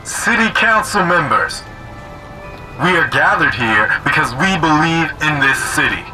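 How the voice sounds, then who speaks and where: A man's voice: on the high side, expressive, unhurried, rough recording. A man playing an earnest, resolute community leader in an audio drama, speaking emphatically like an orator. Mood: earnest, firm. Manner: oratorical, emphatic, repeating phrases. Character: earnest, resolute young male community leader rallying neighbors. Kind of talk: rousing speech in an audio drama. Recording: music bed under the voice.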